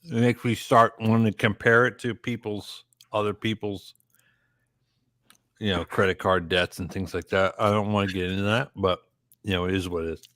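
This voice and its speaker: A man's voice: on the low side, steady tone, moderate pace, a bit bright, dry recording. A drunk man in casual, profane banter, repeating himself and using a lot of slang. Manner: repetitive, heavy swearing, slangy.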